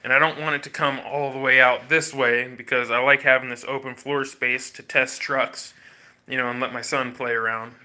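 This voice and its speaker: A congested man casually chatting on a livestream as host, showing his workshop and rambling in a relaxed way with slang. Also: mid-range voice, moderate pace. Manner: rambling, laid-back, with fillers and slang. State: congested and coughing. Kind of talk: casual livestream chat while showing a build. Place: home workshop or garage.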